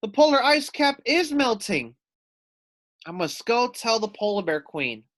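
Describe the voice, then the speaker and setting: A man's voice: very high voice, sing-song, unhurried, fairly bright tone, voice pushed up, exaggerated voice. Man playing a sly, theatrical storybook fox. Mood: howling alarm. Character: sly Arctic fox, smooth-talking, dramatic trickster.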